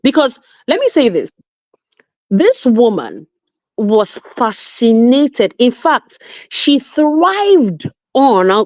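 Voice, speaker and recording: A woman's voice: fairly high pitch, no room sound. A woman from Nigeria with a Nigerian accent, telling stories emphatically in a casual online chat. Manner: animated, emphatic storytelling with frequent restarts. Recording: dull lo-fi audio.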